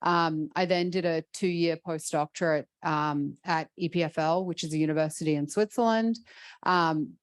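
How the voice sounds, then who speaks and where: A woman in her forties with an Australian accent, a professor on a panel, speaking in a measured, thoughtful way with frequent ums. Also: sits low, smooth, dry recording. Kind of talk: panel discussion answer.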